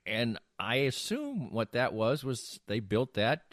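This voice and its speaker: A man's voice: mid-range voice, very animated, takes it slowly, fairly bright tone, dry recording, clean studio sound. An older man hosting a casual podcast, telling anecdotes in a rambling way and restarting his sentences mid-thought. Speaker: podcast host.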